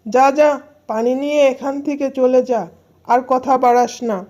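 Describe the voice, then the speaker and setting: A woman's voice: high voice, steady tone, moderate pace, a little mellow, dull audio, lightly acted. A woman voicing a scornful, snobbish animal neighbour in a cartoon fairy tale, dismissive and sneering. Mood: contemptuous.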